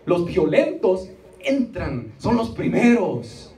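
A middle-aged man preaching to a congregation, emphatic and repetitive, drawing out key words and pausing for responses. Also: high voice, very animated, warm tone. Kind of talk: Spanish-language church sermon with rhetorical repetition and acted asides. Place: small church meeting room, indoors. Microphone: handheld microphone, recorded from the seats. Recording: roomy, distant audience recording with faint noise.

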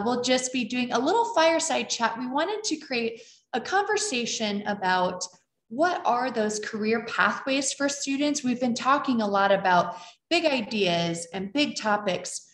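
A woman's voice: a little high, a bit bright, a little hiss or hum. A woman in her thirties hosting an online event, introducing a guest and asking questions in a friendly, upbeat way over a video call. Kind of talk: welcoming introduction and interview. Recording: roomy video call audio.

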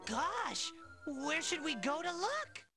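A woman's voice: sits high, sing-song, slow pace, heavily acted. A woman voicing a timid, worried little animal puppet in a children's game. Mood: nervous. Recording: music under the voice.

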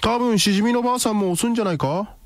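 An actor playing a ruthless, sardonic man in a radio drama, deadpan and commanding. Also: high voice, rapid-fire, no room sound, natural voice. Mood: coolly threatening. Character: cool, ruthless, sardonic man blackmailing a villain.

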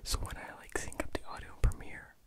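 Young ASMR host speaking in a whisper. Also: fast. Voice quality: unvoiced whisper. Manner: rambling, slangy, casual. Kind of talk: whispered ASMR storytelling, casual chat.

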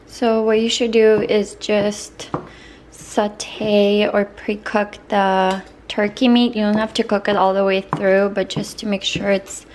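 A young woman talks through a home recipe in a loose, friendly way, with an occasional um or like. She sounds relaxed and conversational. Faint household ambience sits behind her voice. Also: mid-range voice, slow pace, a little hiss or hum. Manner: relaxed, with fillers like um and like. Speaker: lifestyle vlogger, stay-at-home mom. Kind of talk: casual recipe walkthrough. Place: home kitchen, indoors.

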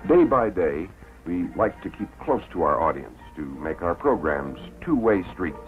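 An American man narrating a 1950s promotional documentary in a measured, deliberate way with dramatic pauses. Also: deep voice, big pitch swings. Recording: tinny old film soundtrack, faint music.

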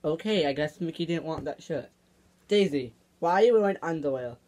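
A laid-back teenage cartoon boy, acted out by a woman, with a lowish voice and a casual, matter-of-fact way of talking. It is an improvised play vlog. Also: animated, fairly quick, dark tone. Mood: puzzled.

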